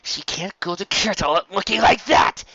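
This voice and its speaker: A man's voice: high voice, moderate pace, sharp tone, husky, dry recording, pitched above the performer's own voice, heavily acted. A man voicing a furious, protective female pony in a storybook reading, demanding and exclamatory, on a lo-fi recording. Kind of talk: acted storybook dialogue.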